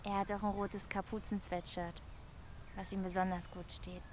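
An actress as a lonely young woman in a German radio play. She muses in a medium-pitched voice, confiding and hesitant, full of shy longing. Faint outdoor ambience sits behind her. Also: flat delivery, normal speed, a little mellow, a little hiss or hum, close to an everyday voice. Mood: wistful musing. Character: lonely, wistful young woman quietly in love with her neighbour.

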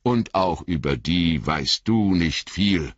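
A man reading an audiobook voices an old wizard who speaks gravely and kindly, slow and measured. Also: deep voice, animated, no echo, muffled recording, exaggerated voice.

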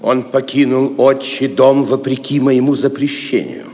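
A man's voice: mid-range voice, fairly even intonation, a little slow, crowd noise, natural voice. An actor playing a proud, stern Saxon nobleman and father in a Russian audio play, speaking solemnly and firmly. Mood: stern, resentful. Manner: solemn, firm. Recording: long hall reverb.

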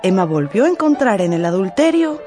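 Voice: mid-range voice, big pitch swings, a little fast, dark tone. Theatrical narrator over prominent music. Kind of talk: dramatic scripted audiobook and radio drama narration.

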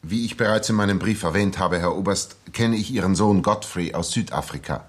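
An actor in a 1960s German radio drama playing an earnest young former soldier, polite but insistent. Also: male voice, low voice, a little fast, slightly rough. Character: earnest, persistent young ex-soldier seeking his friend.

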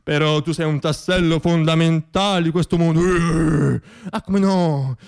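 A man's voice: a little high, fairly even intonation, measured pace, creaky, clear, resonant tone. A man performing a theatrical comic monologue as a storyteller, wry and self-deprecating, repeating words for effect.

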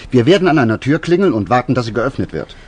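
An actor playing a self-assured, bossy detective in a German radio crime drama, with a dry, ironic manner. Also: male voice, mid-range voice, big pitch swings, fast talker, darkish tone, husky. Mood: bossy, impatient. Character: wry, self-assured male detective, dryly teasing, bossy.

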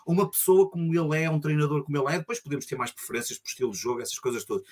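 A man's voice: a little high, rapid-fire, darkish tone. A man with a European Portuguese accent co-hosting a podcast, chatting casually and wordily, often repeating and rephrasing himself. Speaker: podcast co-host. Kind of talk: casual conversational commentary.

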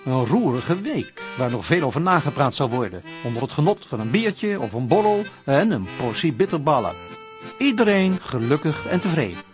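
A man's voice: a little high, very animated, normal speed. A man reading scripted narration for a radio serial. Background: music.

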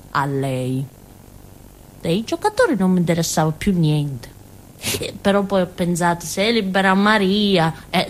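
A woman's voice: mid-range voice, lightly acted. An actress playing a naive, stubborn village simpleton girl in a theatrical monologue, with a Calabrian southern Italian accent and a childlike, colloquial, rambling way of talking. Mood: earnest, determined. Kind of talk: theatrical monologue, radio drama storytelling.

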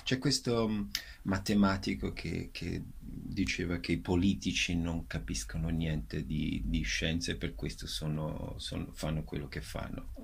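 Deep, gravelly man, halting delivery. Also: flat delivery. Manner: halting, repeats words while searching. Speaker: actor and director presenting a show.

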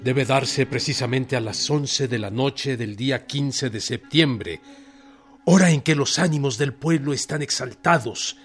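A man playing a resolute revolutionary leader who dictates orders in a formal, deliberate, authoritative way in a radio drama. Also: medium pitch. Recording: radio play with music underneath.

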